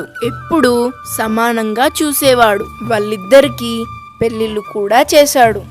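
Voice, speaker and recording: Female narrator for children's moral tales, slow and fairly high, music beneath. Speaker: storyteller. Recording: music under the voice.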